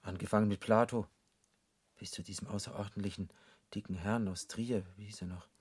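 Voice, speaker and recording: An urbane devil in a literary radio drama. His voice is fairly low and husky, close and dry in the studio. He lectures with irony and rhetorical questions. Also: steady tone, fairly quick, no room sound. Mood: mocking, rhetorical. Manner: ironic, didactic, rhetorical.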